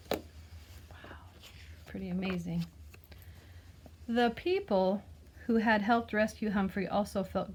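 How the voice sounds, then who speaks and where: Woman reading a picture book aloud, faint noise. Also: medium pitch. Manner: explains words and asks the listener gentle questions. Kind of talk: expressive children's read-aloud with teaching asides.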